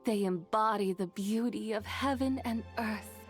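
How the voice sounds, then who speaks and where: A refined, otherworldly game heroine, played by a woman, with a medium-pitched voice. She is formal, eloquent and serene, with faint music behind her. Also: unhurried, natural voice. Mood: serene, admiring. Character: serene, gracious otherworldly lady, refined and unhurried. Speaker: game voice actor. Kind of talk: poetic, courtly game character acting.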